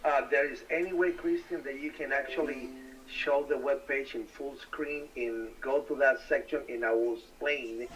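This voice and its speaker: A man's voice: medium pitch, fairly even intonation, moderate pace, a bit bright, distant mic, slight background noise, low-quality recording. A man with Spanish as his native language, talking casually as a bilingual co-host on a live stream. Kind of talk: casual live-stream discussion, switching between English and Spanish.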